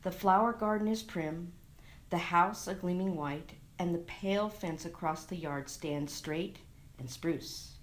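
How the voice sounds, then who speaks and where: A woman giving a dramatic reading of a literary short story, expressive and measured, recorded on a lavalier mic. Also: fairly low pitch. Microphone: lavalier microphone into a phone.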